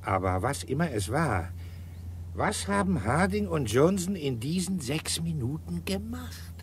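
An actor playing a shrewd, sardonic, dogged police inspector in a German radio crime drama, commanding and dry with muttered asides. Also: male voice, on the high side, very animated, unhurried, a little hiss or hum. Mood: probing.

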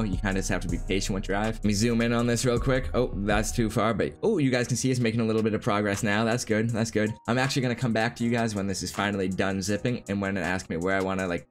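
Fast-talking low-voiced young man. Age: 20s. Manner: informal, rambling, explains step by step. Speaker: gaming video creator. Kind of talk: casual tutorial talk. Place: small room indoors at home. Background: background music.

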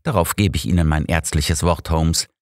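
A man's voice: a bass voice, normal speed, no room sound, studio quality. A man voicing an exasperated, sarcastic older doctor in an audiobook, grumbling drily. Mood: wry.

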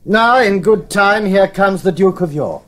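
An actor playing a smooth-talking, scheming nobleman in a Shakespearean audio drama, measured and commanding. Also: high voice, animated, fairly bright tone. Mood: conspiratorial, commanding. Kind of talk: Shakespearean radio drama acting.

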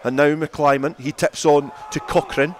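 A man's voice: fairly high pitch, steady tone, unhurried. A man giving live play-by-play rugby commentary from the ground.

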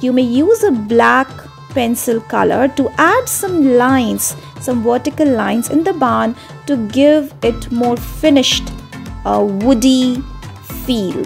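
A woman narrating a step-by-step drawing tutorial for children in an unhurried, explanatory way. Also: fairly high pitch, creaky, a little mellow.

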